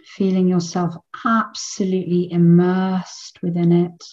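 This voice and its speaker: A woman leading a guided meditation in a soothing, unhurried manner with long pauses between phrases. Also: lowish voice, flat delivery, darkish tone, muffled recording.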